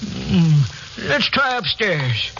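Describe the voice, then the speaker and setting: A man's voice: high-pitched, sing-song, very slow, gravelly, heavily acted. An actor in a 1950s radio drama playing a nervy, suspicious night watchman who talks in clipped, folksy working man's phrases. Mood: uneasy. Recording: dull, hissy vintage radio recording.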